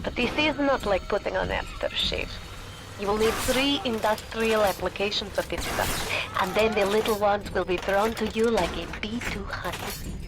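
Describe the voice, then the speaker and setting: A woman voicing a stern, remorseful middle-aged German scientist in a video game, with a German accent and clipped, slightly broken English, over loud game music. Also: medium pitch, moderate pace, background noise, natural voice. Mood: instructing.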